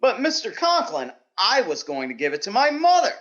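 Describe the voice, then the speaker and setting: An actor playing an earnest, naive young schoolteacher, easily flustered, in 1940s radio sitcom style. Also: male voice, high voice, wildly swooping pitch, moderate pace, a bit bright, muffled recording, exaggerated voice.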